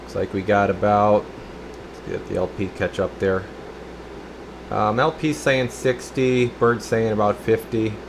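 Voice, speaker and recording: A man with a fairly low voice talks casually through a hands-on technical demo. He is methodical and matter-of-fact, like a technician at work. A faint steady hum of room noise sits behind him. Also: unhurried, vocal fry. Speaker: radio technician, channel host.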